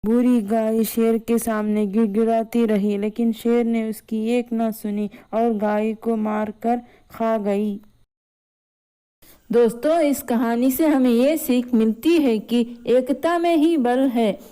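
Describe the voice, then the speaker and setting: A woman's voice: a little high, fairly even intonation, slow, warm tone, clear, resonant tone, poor audio. A woman narrating a scripted moral story as a storyteller. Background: background music.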